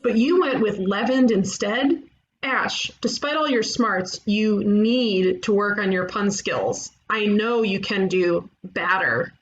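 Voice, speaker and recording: A woman playing a brash, bossy ghost detective in an animated comedy table read, teasing and cocky. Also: medium pitch, slow pace, dull audio, slight background noise, natural voice. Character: brash, bossy young female ghost detective who loves puns.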